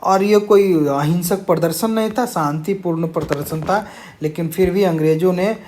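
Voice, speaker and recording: A man's voice: high voice, normal speed, a little mellow, slight background noise. A man teaching an informal exam-prep lesson, explaining answers and mixing in English words.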